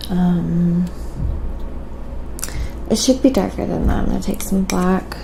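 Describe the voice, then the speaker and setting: Casting: soft-spoken female ASMR painting streamer, slightly low pitch, very slow casual delivery, thinking aloud, noisy recording with light clinking. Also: vocal fry. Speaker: livestream painter and host. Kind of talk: quiet ASMR painting livestream, casual chat with viewers.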